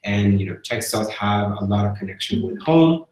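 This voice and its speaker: A man hosting an online art residency seminar, speaking thoughtfully with frequent pauses and fillers over a video call. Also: lowish voice, expressive, a little mellow, reverberant, rough recording. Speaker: residency program host.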